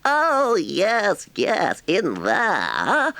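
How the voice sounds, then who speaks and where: A man's voice: high-pitched, wildly swooping pitch, drawn-out words, creaky, bright, forceful tone, no room sound, voice pushed up, full character voice. A man voicing a conceited, wilful toad with a gushing, petulant, emphatic way of talking, in a storybook reading. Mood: impatient, gushing. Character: conceited, effusive, wilful male toad, theatrically earnest.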